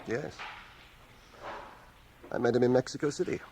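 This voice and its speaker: An actor playing a reserved, dry-witted schoolmaster of about sixty in a radio drama, speaking in a measured, understated way. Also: male voice, mid-range voice, fairly even intonation, darkish tone, close to an everyday voice. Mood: wry. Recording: faint hiss, home cassette radio transfer.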